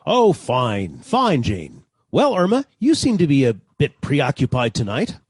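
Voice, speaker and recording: Casting: male actor as pompous, courteous suitor, 1940s radio comedy; husky, hugely animated intonation. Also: medium pitch, unhurried, dry recording, studio quality, full character voice. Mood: approving. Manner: pompous, formal, effusive. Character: stuffy, polite, well-bred gentleman suitor.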